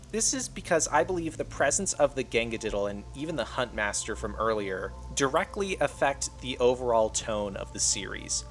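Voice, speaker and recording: A man's voice: medium pitch, a bit bright. A man reading a scripted video essay as the narrator of an online channel.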